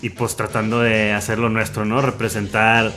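Low-ish voice, lots of slang and ums, faint music. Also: male voice, completely flat delivery, normal speed, a bit bright. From Reynosa, Tamaulipas. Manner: slangy, hesitant, lots of fillers. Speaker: guest, record label founder. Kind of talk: casual chat.

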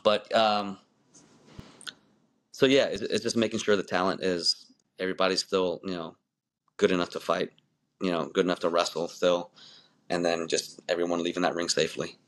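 Low-voiced man telling his story loosely. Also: little pitch movement, moderate pace, long pauses. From born in Malaysia, raised in the United States. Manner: rambling, many restarts and "you know"s, laughs easily. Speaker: wrestling referee, podcast guest. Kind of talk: casual interview storytelling.

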